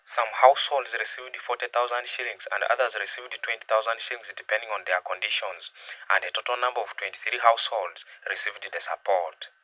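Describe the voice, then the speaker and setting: A man reading a scripted news voice-over in a measured reporting tone. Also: on the low side, steady tone, bright, forceful tone, a little hiss or hum, low-quality recording.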